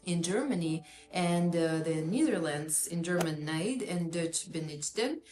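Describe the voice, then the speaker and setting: A woman's voice: lowish voice, animated, measured pace, darkish tone. Russian-accented woman therapist explaining. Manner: earnest, explanatory, with fillers. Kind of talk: educational talk to camera. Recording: echoey room with faint music.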